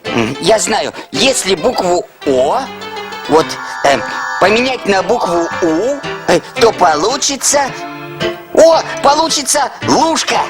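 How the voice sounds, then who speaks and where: A woman's voice: mid-range voice, big pitch swings, slow. A woman voicing a good-natured, curious little boy creature in a children's show, boyish and full of exclamations. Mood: curious, thoughtful. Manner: hesitant fillers, exclamatory, boyish. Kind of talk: children's educational show character acting. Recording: music under the voice.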